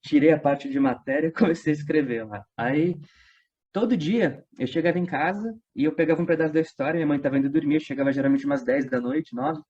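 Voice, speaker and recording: São guy telling stories, slangy, voice a bit high, dull lo-fi sound. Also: animated, quick pace, darkish tone. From São Paulo state, Brazil. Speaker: interview guest, audio drama creator. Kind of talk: casual storytelling. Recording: dull lo-fi online interview audio.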